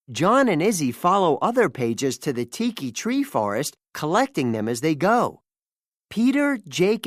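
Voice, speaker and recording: A narrator reading a children's storybook aloud in an animated, scripted style. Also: mid-range voice, no room sound, studio quality.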